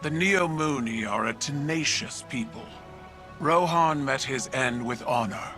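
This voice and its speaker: An actor playing a proud, honor-bound alien military leader in a game, speaking gravely and formally. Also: male voice, mid-range voice, unhurried, bright, forceful tone, faint music behind. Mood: solemn, defiant. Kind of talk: video game cutscene acting.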